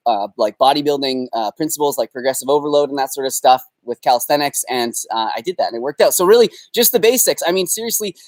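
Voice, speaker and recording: A man's voice: medium pitch, dry recording, rough recording. A young Canadian man hosting a casual live Q&A from his backyard, upbeat and rambling with fillers. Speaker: host. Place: backyard, outdoors.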